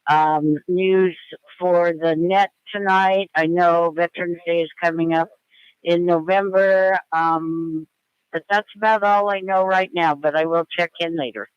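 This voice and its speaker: A woman's voice: on the low side, steady tone, unhurried, clean tone, dry recording. A woman, a team staff member, checking in informally over two-way radio. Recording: slightly dull, dry radio transmission.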